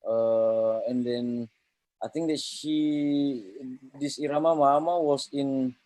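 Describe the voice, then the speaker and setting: A man with an Indonesian accent informally introducing an online talk as host, speaking haltingly as he searches for words. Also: medium pitch, steady tone, dark tone, dry recording, low-quality recording. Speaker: event host giving introductions.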